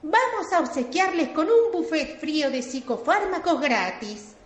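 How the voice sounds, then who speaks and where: A woman's voice: high-pitched, animated, measured pace, roomy sound. A woman playing a brazen, foul-mouthed, manipulative psychotherapist with an Argentine accent in an absurd radio soap. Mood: grandiose. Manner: brash, mock speech-making.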